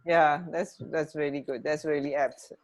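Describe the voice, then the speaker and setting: A woman's voice: sits low, fairly even intonation, normal speed. A woman co-hosting a radio show, chatting casually and musing in short, reactive remarks. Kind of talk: casual chat, trading fun facts.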